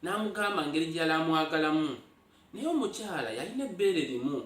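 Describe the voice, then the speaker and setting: A man from Uganda with a Ugandan accent and Luganda as his native language tells folktales in an animated way, speaking directly to his listeners. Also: fairly high pitch, fast. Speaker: storyteller, host. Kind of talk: oral folktale storytelling. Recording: echoey room, far from mic.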